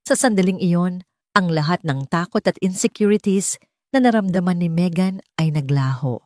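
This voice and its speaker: Casting: female audiobook narrator for romance drama, low-pitched, dark warm tone, dry close recording. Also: moderate pace, no room sound. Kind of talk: scripted romance story narration.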